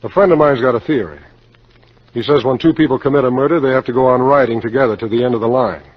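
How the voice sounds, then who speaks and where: A man's voice: medium pitch, fast, lightly acted. An American actor playing a cynical, guilt-ridden salesman turned killer in a 1950s radio drama, clipped and hardboiled. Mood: cold, menacing. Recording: dull, noisy 1950s radio recording.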